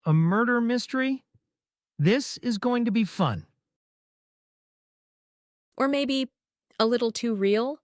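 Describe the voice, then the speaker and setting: A young woman guest voiced by a male narrator speaks in a high, light falsetto, well above his own voice. The sound is close and dry. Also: animated, slow, pauses often, no room sound, exaggerated voice. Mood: playful.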